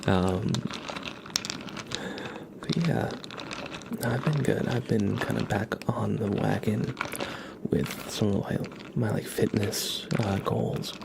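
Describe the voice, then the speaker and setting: A man hosting an ASMR livestream, chatting casually and softly in a gentle, rambling way, often slipping into a whisper. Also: on the low side, slow, gravelly, pauses often, noisy background. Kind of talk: soft-spoken ASMR with casual livestream chat.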